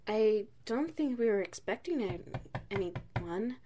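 A woman playing an affectionate, playful wife in an ASMR roleplay, speaking in a soft, intimate manner. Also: medium pitch, expressive, slow pace, a little hiss or hum. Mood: surprised, uneasy. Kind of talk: ASMR roleplay, one side of a dialogue.

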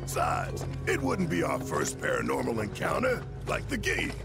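An actor playing a blustering, secretly spooked tough guy in a video game, with folksy slangy talk and an animated comic delivery. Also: mid-range voice, normal speed, vocal fry, loud music behind the voice, exaggerated voice.

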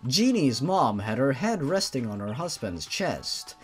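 A man's voice: mid-range voice, sing-song, a little slow. A man reading a horror fan fiction story aloud with theatrical, exaggerated emphasis. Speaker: narrator. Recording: music behind the voice. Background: music.

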